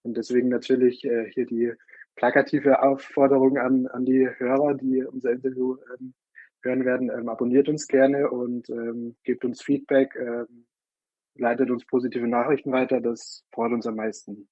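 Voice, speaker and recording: A man from southern Germany answering interview questions informally, halting often as he searches for words. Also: mid-range voice, level tone, mellow, no room sound. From Munich, Germany. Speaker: interview guest, newsletter co-founder. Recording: dry, slightly dull lo-fi sound.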